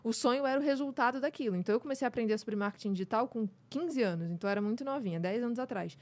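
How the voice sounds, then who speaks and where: A woman's voice: a little high, racing, darkish tone. A woman in her twenties from Rio de Janeiro with a carioca accent, speaking candidly and reflectively as a podcast guest. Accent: Carioca Brazilian Portuguese. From Brazil, Rio de Janeiro state. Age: 20s. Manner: candid and reflective, many "né" and "sabe" tags. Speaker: podcast guest, communication coach. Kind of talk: casual interview chat.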